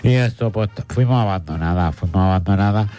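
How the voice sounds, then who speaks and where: A man's voice: low voice, a little fast, dark tone, natural voice. A man voicing a chatty, lovelorn female beetle from Australia in an improvised comedy bit, over-the-top and playful. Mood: aggrieved.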